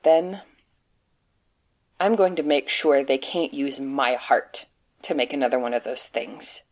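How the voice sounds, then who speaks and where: Woman acting slow, haunted, despairing trapped agent. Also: extremely low pitch, a little mellow. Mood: resigned, urgent. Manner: halting, confessional, grimly sardonic. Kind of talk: dramatic monologue reading of a written report.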